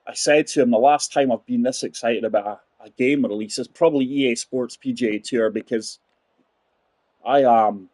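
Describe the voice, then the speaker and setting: A man in his forties with a husky, slightly breathy voice at a middle pitch, chatting through a golf game live. He talks casually to his viewers, and the sound is dry and close, with no room echo. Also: moderate pace, darkish tone. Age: 40s. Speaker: game streamer. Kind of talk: live gameplay commentary.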